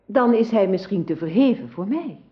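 An actress playing an innocent, obedient young princess in a radio play, demure and naive in manner. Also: female voice, mid-range voice, animated, moderate pace, lightly acted. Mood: shy, obedient. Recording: dull old radio drama recording.

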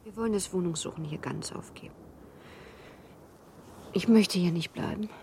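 An actress playing a wistful, restless young baron's wife in a literary radio play, with refined, expressive diction and a husky voice. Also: female voice, lowish voice, moderate pace, slight background noise. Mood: subdued, melancholy.

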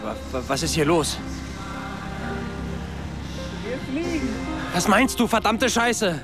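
Agitated hot-headed young man, swinging pitch. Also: sing-song, normal speed. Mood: panicked. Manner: shouting, sweary, stammering urgency. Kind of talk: German radio drama acting. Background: music.

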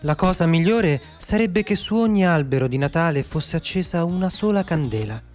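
Over music, a male actor plays a solemn wartime official with a fairly high male voice. He declaims austerity notices in measured, formal radio drama style. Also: expressive, darkish tone, close to an everyday voice.

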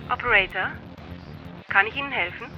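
Ein Schauspieler spielt in einem deutschen Hörspiel eine förmliche, ausweichend höfliche Telefonvermittlung. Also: male voice, high-pitched, normal speed, background noise, natural voice. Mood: polite, guarded. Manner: polite, formulaic, evasive. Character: formal, guarded telephone operator who stalls callers. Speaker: telephone operator. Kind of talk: German radio drama acting. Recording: music behind the voice.

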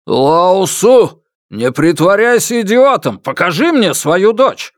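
A narrator, a man, puts on a desperate, enraged father. The voice climbs high and loud, swinging widely as he hurls accusations in hysterical shouts. It is an audiobook character in a dry recording. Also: expressive, slow, no echo. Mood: furious. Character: enraged, desperate father hunting his missing daughter.

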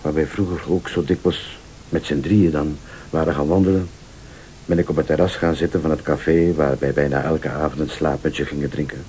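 An actor with a Flemish accent playing an insistent, accusing man in a radio play, deliberate and probing, sometimes sardonic or pleading. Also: extremely low pitch, steady tone, mellow, a little hiss or hum, poor audio. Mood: subdued, wistful.

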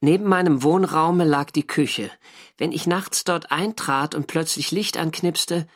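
An actor playing a First World War navy diarist, narrating in a measured, matter-of-fact literary way for a radio drama. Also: male voice, mid-range voice, a bit bright.

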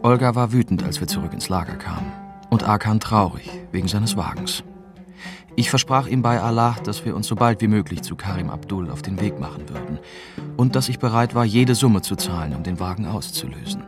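A man's voice: fairly low pitch, animated, a little fast. An actor playing a young German reporter who narrates his story in the first person, as scripted radio drama. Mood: reflective. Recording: clear music bed behind narration.

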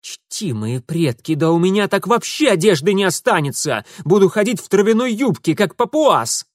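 A man as a sarcastic young gentleman sleuth, mocking, lively, raised pitch, audiobook. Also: unhurried, no echo, studio quality.

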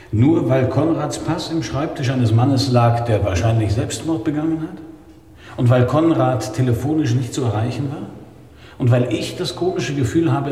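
A man's voice: mid-range voice, mellow, breathy edge. An actor playing a seasoned, sardonic male police inspector in a German radio crime drama. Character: seasoned, dry-witted male New York police inspector.

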